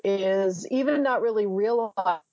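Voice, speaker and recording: A woman's voice: medium pitch, moderate pace, mellow, no echo, dull audio. A woman in her fifties, a memoir author answering interview questions thoughtfully, searching for words and restarting often. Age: 50s.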